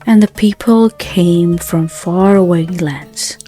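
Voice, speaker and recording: A woman narrating a bedtime story in a gentle, soothing, hushed manner, with unhurried phrasing. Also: lowish voice, breathy edge. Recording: soft music under the voice. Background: background music.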